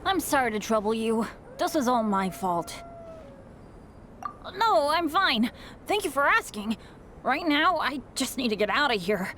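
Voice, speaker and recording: A woman's voice: high voice, big pitch swings, unhurried, long pauses, a bit bright, a little hiss or hum, full character voice. A woman voicing a cheerful, apologetic young male adventurer in a video game cutscene, eager and self-blaming. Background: game music.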